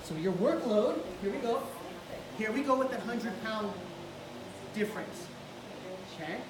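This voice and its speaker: A woman's voice: fairly high pitch, animated, unhurried. Woman, jiu-jitsu instructor, encouraging, hands-on coaching, distant camera, echoey gym. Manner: encouraging, coaching step by step while grappling. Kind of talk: hands-on technique teaching. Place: large indoor gym with mats. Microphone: camera far across the room. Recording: echoey gym from far off, noisy.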